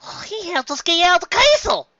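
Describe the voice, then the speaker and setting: Squeaky, excitable little country filly. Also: male voice, fairly quick, no room sound, lo-fi sound, exaggerated voice. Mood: excited, eager. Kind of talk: fan fiction audiobook character voice.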